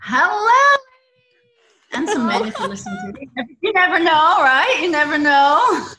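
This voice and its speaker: A woman from Switzerland, a podcast guest calling in remotely from her home, chatting in a loud, playful way with quick laughs. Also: extremely high pitch, very animated, a crowd in the background, poor audio. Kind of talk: casual interview chat.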